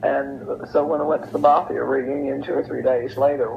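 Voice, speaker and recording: Older woman with a creaky voice. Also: rumbling low, steady tone, normal speed. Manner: chatty, anecdotal. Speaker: interview guest. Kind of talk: casual storytelling. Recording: muffled lo-fi audio with noise.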